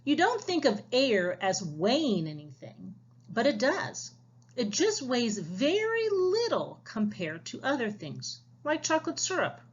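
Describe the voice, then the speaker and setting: Storybook magic-school schoolmistress read by a woman: patient explainer, unhurried, expressive pitch, lo-fi sound. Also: slightly rough, full character voice. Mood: patient explaining. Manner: explanatory, questioning.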